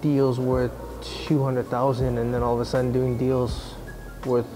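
A man's voice: medium pitch, completely flat delivery, unhurried, rough recording. Casual man in his forties, blunt business Q&A, music behind, restarts lines. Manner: blunt, conversational, frequent restarts. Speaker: business owner answering viewer questions. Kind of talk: casual Q&A chat. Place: indoor atrium of a mall or coffee shop. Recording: music under the voice. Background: background music.

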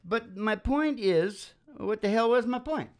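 A man's voice: sits high, measured pace. A middle-aged man ranting casually and emphatically, often checking that the listener agrees, speaking at a stand microphone in a small room. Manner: emphatic, excitable, seeking agreement with tag questions. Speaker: live music show host. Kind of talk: opinionated casual rant. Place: small dim wood-panelled room.

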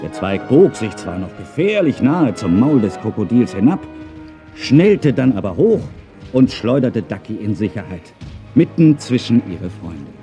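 A man narrating a children's audio drama in a dramatic storytelling manner. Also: medium pitch. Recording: music behind the voice. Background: music.

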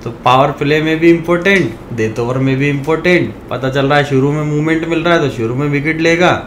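A man's voice: a little high, a little fast, slight background noise. A man from India with a sore throat, talking casually to his viewers, calling them brothers and ranting now and then. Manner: chatty, addresses viewers as brothers, animated rants. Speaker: fantasy cricket YouTuber.

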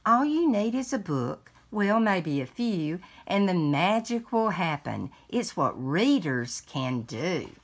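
Slow, lively older woman reading to children. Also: fairly low pitch. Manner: deliberate word-by-word delivery for young children. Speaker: read-along host. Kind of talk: children's picture book read-aloud. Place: indoors at home.

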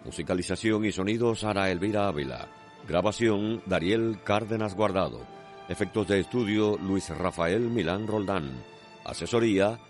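A man with a Cuban accent narrating a scripted radio drama in a declamatory, poetic manner. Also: low-pitched.

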